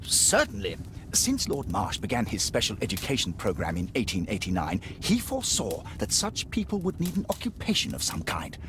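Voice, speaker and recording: A self-assured physician of the Victorian era in a game. His eloquent pitch to the listener swoops dramatically up and down, with faint noise behind it. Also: wildly swooping pitch, normal speed. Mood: suave, persuasive. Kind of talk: video game voice acting.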